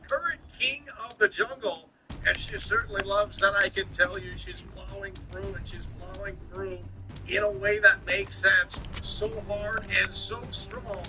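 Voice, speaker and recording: A man's voice: high-pitched, low-quality recording. A man livestreaming over a shooter game, giving repetitive, combative hype talk and rants. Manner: combative, swearing. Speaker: live streamer. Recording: loud shooter game audio, gunfire and music. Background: game music and gunfire.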